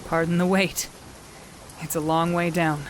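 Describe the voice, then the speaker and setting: An actress playing a battle-hardened warrior sister, who narrates in the first person in a measured, firm way. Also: female voice, fairly low pitch, flat delivery, a little hiss or hum, natural voice. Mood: calm firm. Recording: rain ambience behind the voice.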